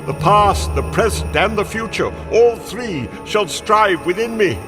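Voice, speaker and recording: Pleading old miser, swooping dramatic voice. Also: male voice, high voice, lively intonation, measured pace. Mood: desperate repentance. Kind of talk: theatrical radio-play acting. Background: music.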